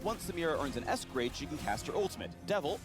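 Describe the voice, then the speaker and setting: A man narrates a game character spotlight in a punchy, playful announcer style. His pitch moves around in an animated way, and music plays clearly behind him. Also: fast talker. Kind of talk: scripted promotional game narration.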